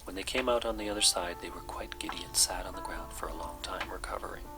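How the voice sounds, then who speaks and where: A man reading a children's story aloud as soft-spoken bedtime narration, with music behind him. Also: low-pitched, fast talker. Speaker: storybook reader.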